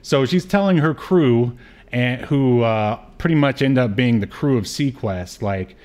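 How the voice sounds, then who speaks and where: A man co-hosting a podcast, chatting casually about television in a hesitant, halting way as he recaps. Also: mid-range voice, darkish tone. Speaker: podcast co-host. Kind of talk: casual TV review chat.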